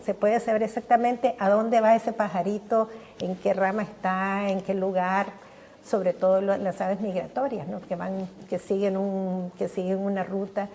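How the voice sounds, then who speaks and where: An older woman answering interview questions with eager enthusiasm. Her voice has a warm, mellow tone, and she trips over repeated words as she searches for what to say next. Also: medium pitch, level tone, measured pace, a little hiss or hum. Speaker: guest scientist. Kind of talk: podcast interview.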